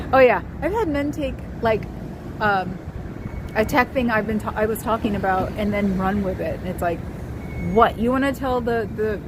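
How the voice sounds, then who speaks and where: A woman with a high voice reacts to viewer comments in a loose, wry chat and laughs often. She talks from a car, and traffic noise runs clearly underneath her. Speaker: livestream host.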